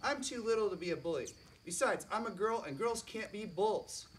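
A man voicing a sensitive young female elephant, speaking earnestly and a little higher. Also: lively intonation, moderate pace, a little hiss or hum. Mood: defensive. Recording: distant mic, faint crickets.

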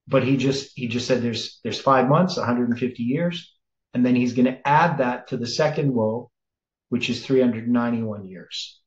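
A man in his sixties leading a sermon-style Bible study, explaining slowly and thinking aloud, with frequent self-corrections. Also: medium pitch, darkish tone. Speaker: preacher. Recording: roomy, slightly dull sound.

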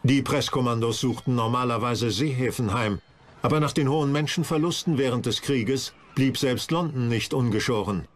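A man's voice: medium pitch, moderate pace. A man reading scripted documentary narration.

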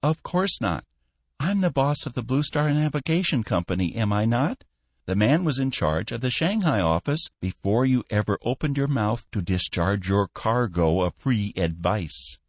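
A man's voice: medium pitch, big pitch swings, normal speed, no echo, pitched below the performer's own voice. A man as a blunt, assertive younger company boss. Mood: defensive. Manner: blunt, jeering, defensive. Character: assertive younger businessman, accusing son-in-law and boss.

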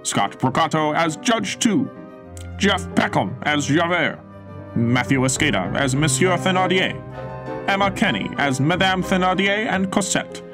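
A man's voice: on the high side, animated, unhurried. A man reading scripted radio drama announcements in a formal, presentational manner. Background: music.

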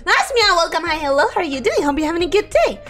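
Hoarse, giggly young woman streamer. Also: very high voice, very animated, fast talker, fairly bright tone, soft background music. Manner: giggly, reads chat aloud and greets viewers. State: hoarse, ragged voice from sickness. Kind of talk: casual live-stream chat.

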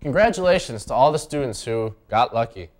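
A man's voice: mid-range voice, lively intonation, fairly quick. A teenage male student anchor reading scripted news and banter as the straight man. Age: teens. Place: small indoor school TV studio.

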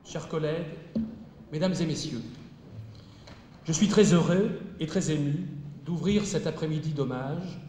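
A man's voice: fairly high pitch, dark tone. Solemn man speaking in an echoey hall. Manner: solemn, measured phrasing. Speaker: university speaker opening a ceremony. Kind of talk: formal prepared speech. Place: large hall before an audience. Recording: echoey hall, faint noise.

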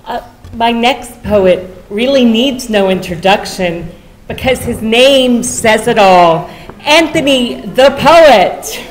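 Female host, middle-aged, slightly high voice, introducing acts at a lectern mic over background noise. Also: animated, slow pace. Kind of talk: live event announcing. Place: meeting hall, at a lectern. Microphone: lectern microphone. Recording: clear background noise in hall.

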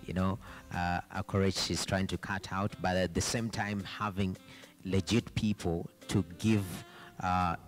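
A young man moderating a panel discussion with a handheld microphone, halting and repeating words. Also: sits low, flat delivery, faint music behind. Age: young adult. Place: indoor conference stage.

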